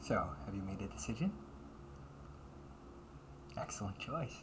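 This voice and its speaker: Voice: deep voice, expressive, unhurried. Flustered delinquent butler-cafe waiter over background noise, acted out. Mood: formally polite. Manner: stiffly formal service politeness, slipping into muttered threats. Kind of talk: amateur audio roleplay.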